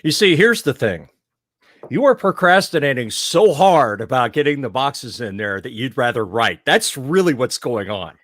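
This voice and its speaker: A man with a Southern US accent co-hosting a podcast, talking in an animated, teasing way, swearing freely and laughing loudly. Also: mid-range voice, normal speed, no echo, studio quality. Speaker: podcast co-host. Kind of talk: casual chat.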